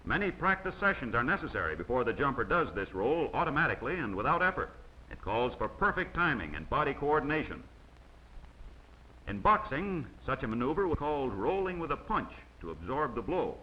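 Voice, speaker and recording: A man reading scripted instructional narration in a measured way, as the narrator of a training film. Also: mid-range voice, sing-song. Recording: dull, noisy old 16mm film soundtrack.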